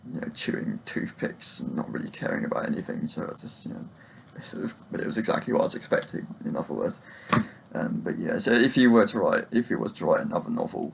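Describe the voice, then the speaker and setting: Low, gravelly man talking haltingly. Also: slight background noise, poor audio. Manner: halting, hesitant delivery with long pauses. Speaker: book reviewer, video blogger. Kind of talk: casual, unscripted book review monologue.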